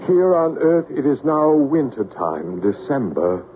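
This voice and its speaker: An actor playing a psychic medium who leads a séance in a calm, coaxing, patient way. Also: male voice, mid-range voice, a little slow, slight background noise. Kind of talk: 1970s radio drama acting. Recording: tinny old radio broadcast.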